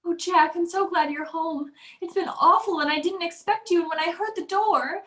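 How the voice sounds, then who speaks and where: A woman's voice: very high-pitched, moderate pace, a bit bright, echoey room. A woman playing a frightened, pleading wife in a radio suspense drama, breathless and faltering. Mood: shaken, evasive. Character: frightened, pleading wife in fear for her life. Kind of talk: old-time radio suspense acting.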